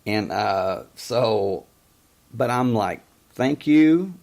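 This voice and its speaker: A man in his fifties who stutters and stammers, hosting a call-in show in a casual monologue, often pausing to search for words. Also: fairly low pitch, lively intonation, creaky, darkish tone. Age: 50s. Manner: many pauses and hesitations.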